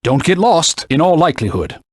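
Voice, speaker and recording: A man's voice: on the high side, very animated, brisk, breathy edge, dry recording, clean studio sound. A man voicing a haughty talking sword, a stern knightly mentor who speaks in pompous, formal phrases in a video game. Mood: instructive, composed. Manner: pompous, formal archaic phrasing, scolding and instructive. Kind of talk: theatrical video game voice acting.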